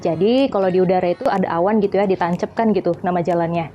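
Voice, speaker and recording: Playful woman explainer with a mellow voice. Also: on the low side, steady tone, very fast, dull audio, faint music behind. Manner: playful, jokey, acts out little dialogues to illustrate points. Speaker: host of an aviation explainer channel. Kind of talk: casual educational explainer, chatty and colloquial.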